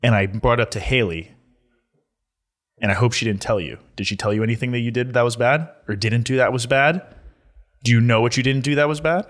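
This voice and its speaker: Male podcast co-host, mid-pitched, teasing banter. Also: brisk, fairly bright tone. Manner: teasing, laughs often. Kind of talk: casual chat.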